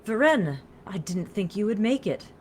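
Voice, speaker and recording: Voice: mid-range voice, lively intonation, normal speed, darkish tone, slight background noise, voice pushed up, close to an everyday voice. A narrator voicing an elegant female vampire lover in a story, speaking in a caressing, formal, commanding way.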